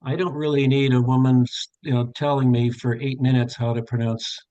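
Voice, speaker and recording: A man's voice: mid-range voice, level tone, a little fast, mellow, dry recording, lo-fi sound. Older man, tutor trainer, live online workshop, thinks aloud, fillers and restarts, mid-range voice, dry sound, video call. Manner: thinks aloud, narrating each step with frequent fillers and self-corrections. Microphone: webcam on a video call.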